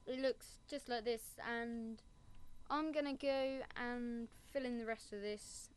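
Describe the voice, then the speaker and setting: A woman's voice: on the high side, fairly even intonation, slow pace, clean tone. A woman giving a casual Minecraft building tutorial, rambling and making self-mocking asides. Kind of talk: casual gameplay tutorial commentary.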